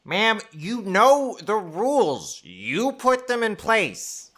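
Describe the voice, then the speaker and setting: An actress playing a nervous, rule-bound assistant in an audio drama, stammering and deferential. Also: female voice, mid-range voice, big pitch swings, takes it slowly, fairly bright tone, heavily acted.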